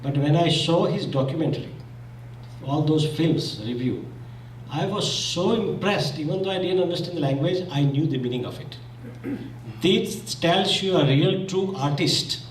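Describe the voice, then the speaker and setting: A man's voice: fairly high pitch, normal speed, slight background noise. A man from Zambia whose first language is Gujarati, giving an earnest memorial speech and restarting his phrases as he goes. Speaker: speaker at a memorial meeting. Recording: echoey hall, mic from far off.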